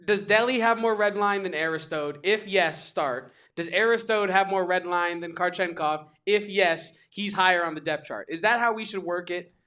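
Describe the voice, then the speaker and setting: A man co-hosting a sports podcast, talking casually and excitably, with emphatic repetition and quick restarts. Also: high voice, clean tone.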